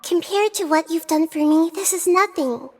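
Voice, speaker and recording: A woman voicing a small, gentle, wise childlike goddess in a video game, composed and articulate, with a soft girlish voice. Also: very high voice, moderate pace, a little hiss or hum. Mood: encouraging. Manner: composed, articulate, kindly explaining.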